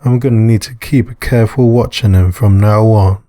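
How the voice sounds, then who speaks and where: A man's voice: on the low side, fairly even intonation, moderate pace, warm tone, dry recording, clean studio sound, lightly acted. A man voicing a nervous amateur sleuth in a visual novel, hesitant and muttering to himself. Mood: pensive. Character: Dag, nervous male amateur sleuth thinking aloud.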